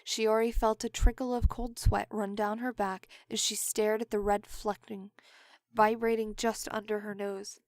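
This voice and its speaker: A woman's voice: medium pitch, little pitch movement, measured pace, darkish tone. A woman reading scripted third-person story narration for an audio drama.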